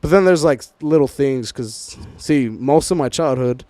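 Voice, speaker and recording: A man's voice: medium pitch, a little slow, warm tone, dry recording. Young guy from socal on a podcast, cussing a lot, chatting loose, dry close sound. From Southern California, United States. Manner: profane, slangy, fond of filler phrases. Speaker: podcast host. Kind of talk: casual podcast chat with friends.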